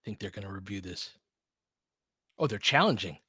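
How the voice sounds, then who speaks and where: A man's voice: on the low side, lively intonation, moderate pace, bright, forceful tone, husky, no echo. Male American fan commentator for audio basketball play-by-play, colloquial and partisan, recorded dry. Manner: partisan fan, colloquial, clipped present-tense phrases.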